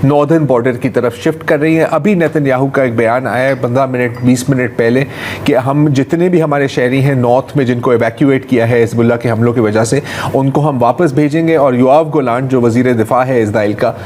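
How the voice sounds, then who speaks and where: Male news analyst, average pitch, explaining in live discussion over slight background noise. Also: steady tone, brisk, darkish tone.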